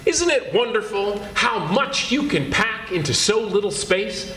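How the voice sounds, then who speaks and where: Man playing a very high, bright pitchman. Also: expressive, unhurried, a little hiss or hum, full character voice. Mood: eager salesmanship. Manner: salesy, folksy, eager. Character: chirpy, relentless floor-wax pitchman dropping by. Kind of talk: 1940s radio comedy announcer acting. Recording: echoey live stage recording.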